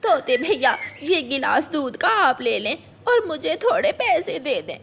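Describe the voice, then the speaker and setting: A woman's voice: high-pitched, big pitch swings, measured pace, gravelly, a bit bright, exaggerated voice. A woman voicing a distressed, poor mother crow in a cartoon story, pleading and tearful for her child. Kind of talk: Hindi cartoon story voice acting.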